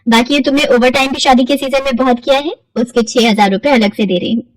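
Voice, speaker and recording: A woman's voice: sits high, fairly even intonation, quick pace, dry recording, recorded from a distance, poor audio. A woman voicing an appreciative, businesslike parlour owner in a cartoon story. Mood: approving.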